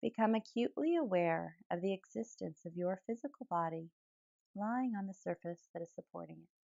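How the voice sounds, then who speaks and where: A woman guiding a yoga nidra meditation in calm, gentle phrases with pauses between them. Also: on the low side, gravelly, dry recording, dull audio. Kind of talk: guided relaxation meditation, soothing instruction.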